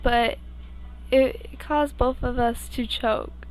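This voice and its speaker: A young woman with a fairly high voice reads fan fiction aloud as an amateur. Her delivery is slow and halting, with frequent stumbles and restarts. She slips informally between the story and her own asides. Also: fairly even intonation, darkish tone, soft background music, rough recording. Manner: halting, stumbling over words. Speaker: amateur podfic reader.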